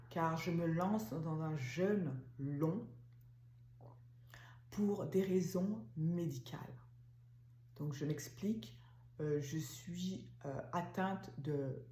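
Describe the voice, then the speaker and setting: A woman in her forties from France, talking slowly and haltingly with many fillers in a personal vlog. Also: on the low side, roomy sound, slight background noise. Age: 40s. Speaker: vlogger, massage therapist. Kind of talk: casual personal vlog talk.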